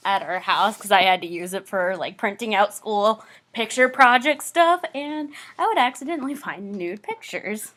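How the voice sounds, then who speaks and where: A woman co-hosting a podcast in a casual chat, speaking in an unhurried way that trails off, with fillers. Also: medium pitch, a bit bright. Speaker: podcast co-host.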